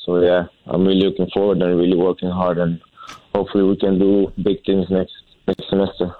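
A young man from Belgium, a college tennis player, answering interview questions in halting with many fillers and restarts. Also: deep voice, monotone. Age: young adult. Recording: tinny phone-like sound.

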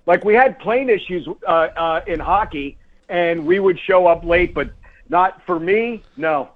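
A man's voice: sits high, a little slow. A man, a sports broadcaster, chatting casually as a radio guest over a guest line. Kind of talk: casual interview chat. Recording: band-limited, dull guest phone line.

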